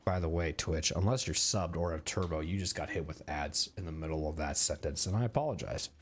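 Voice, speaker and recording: A man's voice: very deep voice, fairly quick, a little hiss or hum. Rambling young male streamer. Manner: rambling, lots of filler words. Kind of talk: casual gameplay commentary. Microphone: desk streaming mic.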